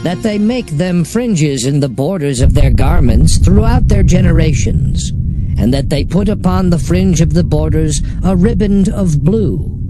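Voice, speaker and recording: An actor playing a stern, solemn prophet leader of ancient Israel in a dramatized scripture, declaiming with command. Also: male voice, fairly high pitch, expressive, a little slow, rough recording. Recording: loud music under the voice.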